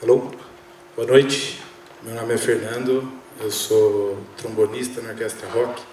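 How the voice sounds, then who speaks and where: A man's voice: mid-range voice, little pitch movement, measured pace, darkish tone, a little hiss or hum. Trombonist man in an echoey hall. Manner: reflective, hesitant with fillers. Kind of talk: talk on stage. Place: theater hall. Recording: echoey theater, speaker far from mic.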